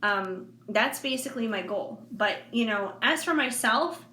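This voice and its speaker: A forty-year-old woman with a bright, fairly high voice speaks candidly to her viewers, rambling along with plenty of fillers. The sound is roomy and echoey, with a faint hiss underneath. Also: lively intonation, a little slow. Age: 40s. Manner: candid, rambling, frequent fillers and soft laughs. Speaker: intuitive reader and vlogger. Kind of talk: casual heartfelt monologue to viewers. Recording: echoey room with faint background noise.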